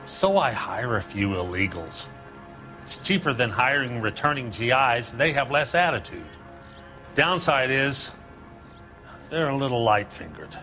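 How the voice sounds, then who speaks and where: A 1940s American used-car dealer for a crime video game. He is bright and forceful, swinging widely in pitch, full of glib, evasive sales patter. Faint music plays behind him. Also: big pitch swings, unhurried. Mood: evasive, aggrieved. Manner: smooth-talking salesman patter, defensive. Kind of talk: dramatic game acting, period crime story.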